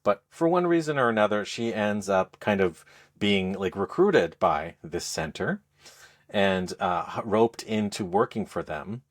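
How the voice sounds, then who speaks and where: A man speaking as a podcast co-host, explaining things in a casual, halting, conversational review style. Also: fairly low pitch, expressive. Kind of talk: casual game review chat.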